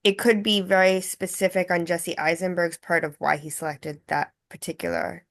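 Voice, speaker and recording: A woman with a slightly low voice talks in a casual group discussion. She thinks aloud, offering her points tentatively and rephrasing them as she goes. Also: little pitch movement, normal speed. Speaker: participant in actors' discussion.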